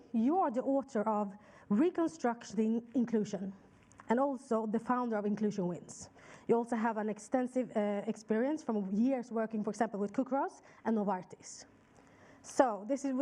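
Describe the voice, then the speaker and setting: A woman's voice: a little high, normal speed, dark tone. A woman moderating a stage conversation, politely and formally introducing the guests. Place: stage at a conference.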